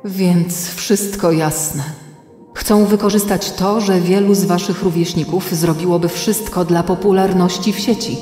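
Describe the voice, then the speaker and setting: A woman playing a calm, watchful artificial intelligence mentor in a children's radio play, serious and deliberate, giving warnings. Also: on the low side, natural voice. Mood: urgent. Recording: echoey long reverb, music underneath.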